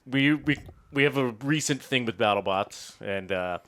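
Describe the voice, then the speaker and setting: A man's voice: medium pitch, expressive, normal speed, a bit bright. A laid-back man from Las Vegas, a guest on a podcast, talking casually and rambling with lots of fillers. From Las Vegas, USA. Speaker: guest, tech developer. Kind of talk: casual interview chat.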